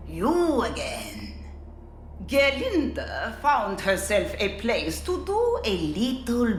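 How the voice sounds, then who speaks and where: A woman's voice: medium pitch, a bit bright, reverberant, heavily acted. A woman playing a brash, scheming nonhuman runesmith in a video game, boastful and scornful, speaking of herself in the third person. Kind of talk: dramatic video game acting.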